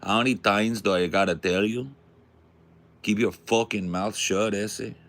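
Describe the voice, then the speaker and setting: A man's voice: fairly low pitch, fairly even intonation, unhurried, fairly bright tone. A man voicing a hot-tempered street tough with a Mexican American accent, threatening, swaggering and full of slang. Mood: angry, threatening.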